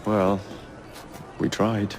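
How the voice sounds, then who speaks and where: An actor playing a cocky young gang leader in a video game, wry and playfully sardonic. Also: male voice, on the low side, steady tone, extremely slow, gravelly, background noise, heavily acted. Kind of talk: video game cutscene acting.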